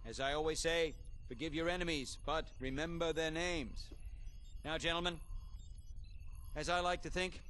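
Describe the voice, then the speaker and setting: An actor playing a stately 1960s American president, speaking in measured oratory in a game cinematic. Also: male voice, a little high, fairly even intonation, close to an everyday voice. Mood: solemn. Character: famous 1960s American president, stately, rallying, unflappable leader. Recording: loud cinematic music behind the voice.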